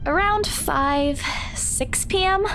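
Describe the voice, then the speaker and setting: Visual novel role: young woman, high register, amiable working professional who gets flustered easily. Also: slow pace, fairly bright tone, slight background noise, exaggerated voice. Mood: casual, friendly. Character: young woman real estate agent, friendly, easily flustered.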